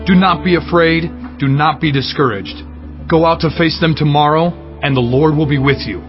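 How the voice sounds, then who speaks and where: A man's voice: on the high side, moderate pace, close to an everyday voice. Commanding ancient herald voiced by a man, emphatic delivery, dull recording with prominent music. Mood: bold assurance. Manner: proclaiming, emphatic. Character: urgent, commanding Levite prophet and herald of ancient Judah. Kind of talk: dramatized audiobook acting.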